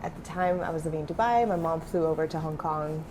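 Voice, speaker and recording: Young woman founder, low voice, background noise. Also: fast. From Switzerland. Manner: relaxed, many fillers like um and uh. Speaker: startup founder, guest. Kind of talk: casual interview talk.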